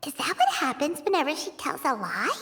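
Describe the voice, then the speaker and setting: A woman's voice: high-pitched, wildly swooping pitch, fairly quick, pushed and bright, slight background noise, exaggerated voice. A woman voicing a small, childlike fairy companion in a video game, chirpy, eager and curious. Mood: curious, musing. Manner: chirpy, exclamatory. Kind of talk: video game cutscene acting.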